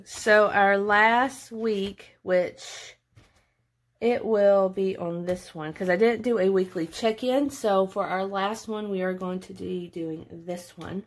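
A woman's voice: medium pitch, fairly even intonation, slow pace. A woman in her late twenties talking casually through a budgeting vlog, chatty and thinking aloud as she goes. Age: late 20s. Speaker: budgeting vlogger. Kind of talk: casual narrated budget walkthrough.

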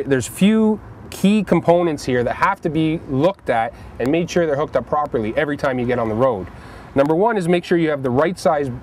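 A man's voice: on the high side, darkish tone. A man with a Canadian accent giving practical, plain-spoken how-to tips as a pro angler, emphasizing key points in an instructional way. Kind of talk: practical how-to demonstration talk. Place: outdoors beside a boat and trailer. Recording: outdoor vehicle and ambient noise.

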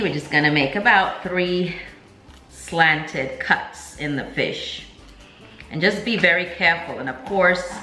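A woman's voice: low voice, pushed and bright, reverberant. A woman in her forties, a home cooking vlogger, talking casually and upbeat while she demonstrates a recipe, laughing often. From Nigerian, Jamaican and American. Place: home kitchen indoors, garden outdoors at first.

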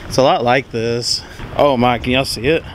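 A man's voice: mid-range voice, fairly even intonation, normal speed. A young man, rambling casually as he vlogs his travels. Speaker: vlogger. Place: parked truck cabin and outdoors on trails. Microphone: handheld or propped camera. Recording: clear vehicle and outdoor background noise.

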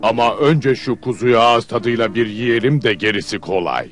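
A man playing a sly man-eating giant father who feigns friendliness in an old cartoon, with theatrical, drawn-out folksy delivery. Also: medium pitch, faint music behind, close to an everyday voice. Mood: generous, coaxing. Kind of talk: old cartoon fairy-tale dubbing.

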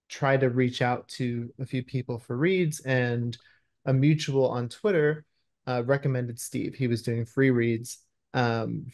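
Mid-pitched man chatting on a video call. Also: darkish tone. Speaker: screenwriter guest. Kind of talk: casual interview chat. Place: room at home, indoors.

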